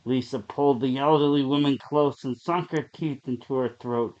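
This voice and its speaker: A man reading a horror story aloud as a dramatic narrator, with deliberate, suspenseful delivery. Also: medium pitch, fairly even intonation, darkish tone. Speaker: story reader. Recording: dull, lo-fi sound.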